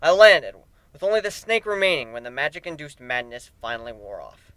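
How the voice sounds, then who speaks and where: A man's voice: mid-range voice, lively intonation, brisk, fairly bright tone, clear, resonant tone, no echo, poor audio. A man narrating a first-person story dramatically, as an amateur reader.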